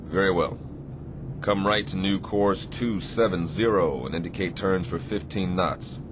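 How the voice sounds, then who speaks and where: A stern Navy captain for radio theatre. The voice is low and commanding, with sardonic, deliberate phrasing that shows a demanding officer who still cares. Clear shipboard noise sits behind it. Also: male voice. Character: stern, demanding but caring male Navy destroyer captain.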